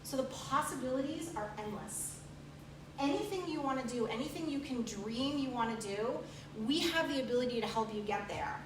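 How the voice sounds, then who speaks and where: A woman presenter with a fairly high voice, speaking emphatically and repeating her key phrases. She stands away from the mic, so the room rings around her. A light hiss sits under the recording. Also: animated, normal speed. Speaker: program presenter. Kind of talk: upbeat recruiting presentation. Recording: echoey room, far from mic.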